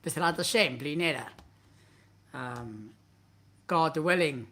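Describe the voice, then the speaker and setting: A man's voice: on the high side, takes it slowly, sharp tone. Afrikaans-accented man in his thirties who hosts a live Q&A from his home study, talking to a webcam; blunt and chatty as he reads and answers viewers' questions. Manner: candid, blunt and conversational, gestures while talking. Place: indoor home study with bookshelves. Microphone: webcam at a desk, seated.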